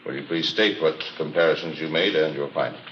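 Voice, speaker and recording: An actor playing a courteous, methodical prosecutor in an old radio courtroom drama, asking questions in a formal, deliberate manner. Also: male voice, a bass voice, steady tone, fairly bright tone. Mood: formal, businesslike. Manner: formal, deliberate questioning with throat-clearing and hesitations. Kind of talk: 1950s radio drama acting. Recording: muffled, noisy old radio recording.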